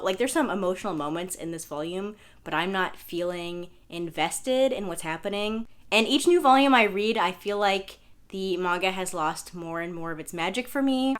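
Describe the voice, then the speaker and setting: A young woman, a bit hoarse from losing her voice, chatting casually at home to camera about what she has been reading, full of fillers and self-deprecating asides. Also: lowish voice, a bit bright. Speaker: manga vlogger. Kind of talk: casual review chat to camera. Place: indoors at home, sitting on the floor.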